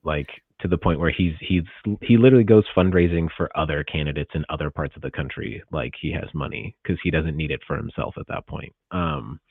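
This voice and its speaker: Male podcast co-host, American, very deep voice, informal and hedging, dry, slightly dull recording. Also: animated, fairly quick, gravelly, hardly any pauses, husky, no room sound. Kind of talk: casual political discussion.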